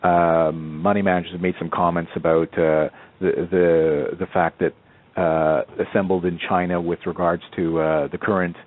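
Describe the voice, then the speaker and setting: A man's voice: sits low, level tone, normal speed. A man asking questions as an analyst on a conference call, speaking hesitantly and circling back to rephrase, over a muffled phone line.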